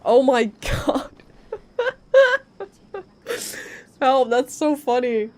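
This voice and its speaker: A woman's voice: high voice, fairly even intonation, extremely slow, mellow. A woman streaming a video game live, commenting casually in a chatty, rambling, giggly way. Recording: faint game music behind voice. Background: faint game music.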